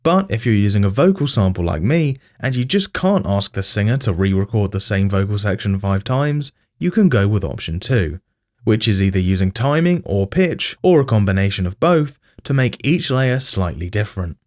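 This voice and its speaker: A man's voice: lowish voice, very animated, normal speed, a little mellow. A man giving scripted tutorial narration, explaining step by step in informal language and addressing the viewer directly. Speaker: music production tutorial host.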